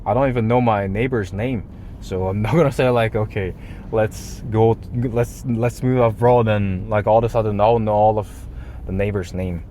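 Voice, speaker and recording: A man's voice: on the low side, steady tone, moderate pace, warm tone, slight background noise. A man whose first language is Japanese rambles casually to his vlog audience in slangy, non-native English. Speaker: vlogger.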